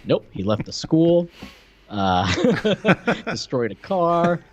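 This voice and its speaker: A man's voice: fairly high pitch, very animated, takes it slowly. A man co-hosting a podcast in casual, chatty review talk, on slightly muffled audio. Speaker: podcast co-host. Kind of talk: casual chat reviewing cartoons.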